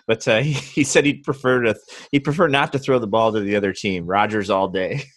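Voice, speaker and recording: Chill host guy on a video call, kinda low voice, jokey, trips over his words. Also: steady tone, fairly quick, a bit bright. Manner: loose and joking, lots of restarts. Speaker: podcast host.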